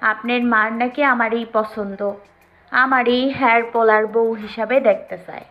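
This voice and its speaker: Blunt proud teenage village bride played by a woman, rural Bangladeshi accent, high-pitched, audio drama, dull recording over music. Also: steady tone, measured pace. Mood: resentful. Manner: blunt, defiant dialect speech. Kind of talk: audio story drama acting.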